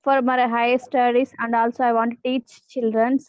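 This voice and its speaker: A woman from South India with a South Indian accent, a housewife learning English, speaking in halting simple sentences on a video call. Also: on the high side, little pitch movement, clean tone, dry recording. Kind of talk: hesitant learner conversation. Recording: dry, dull lo-fi call audio.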